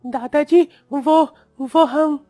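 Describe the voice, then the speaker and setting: A woman's voice: high-pitched, steady tone, drawn-out words, dark tone, no room sound, clean studio sound, faint music behind, pitched above the performer's own voice. A woman voicing an innocent, wishful village child with a pleading, childlike manner in a cartoon story. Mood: hesitant.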